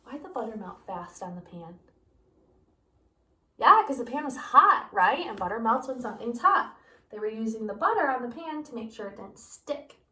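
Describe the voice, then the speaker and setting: Animated woman teacher reading aloud. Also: a little high, moderate pace. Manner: animated, asks listeners questions. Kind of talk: picture book read-aloud for students. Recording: roomy, from a distance.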